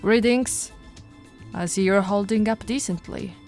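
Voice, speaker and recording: A woman brings to life a sly, no-nonsense policewoman in an audio roleplay, with a voice of ordinary pitch for a woman. She moves between crisp commands and a low, teasing coax. Faint music plays behind her. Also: a little slow, natural voice. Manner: teasing, coaxing interrogator, alternating firm and soft. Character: flirty, no-nonsense female police officer. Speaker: voice actress. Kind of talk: ASMR roleplay.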